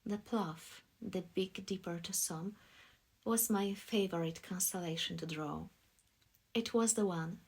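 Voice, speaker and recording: Slow, breathy woman storyteller. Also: lowish voice. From Poland. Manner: deliberate, soft storytelling. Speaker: voice actress, narrator. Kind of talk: scripted audiobook narration.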